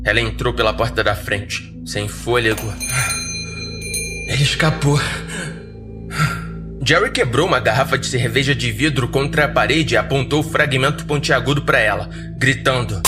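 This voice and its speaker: A man's voice: medium pitch, moderate pace. A Brazilian man narrating a scripted horror story in the first person as a podcast narrator. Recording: roomy echo, faint music behind.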